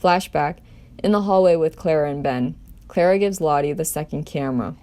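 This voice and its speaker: A woman's voice: low-pitched, normal speed, dark tone. A woman doing an amateur table read as storyteller, narrating a script in her own voice.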